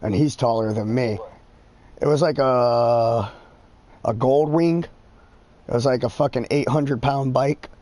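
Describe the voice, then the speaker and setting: A man in his thirties talks through a headset mic during a game stream. He rambles in a loose, profane way and sounds exasperated. His voice sits at an ordinary middle pitch. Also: unhurried, pauses often, mellow. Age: 30s. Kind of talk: casual chat.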